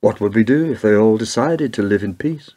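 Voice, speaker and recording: A man acting in a radio play as a grave political strategist, brooding in measured, rhetorical phrases. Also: lowish voice, dark tone, lightly acted.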